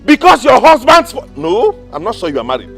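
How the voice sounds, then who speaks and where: A man's voice: very high voice, huge pitch swings, fairly quick, gravelly, soft background music, heavily acted. A man playing an indignant Nigerian man. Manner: protesting loudly, pleading. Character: indignant man demanding his money. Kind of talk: Nollywood drama acting.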